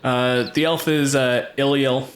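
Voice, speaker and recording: A man's voice: mid-range voice, fairly even intonation, measured pace. A man working as game master, casually improvising narration for a tabletop game.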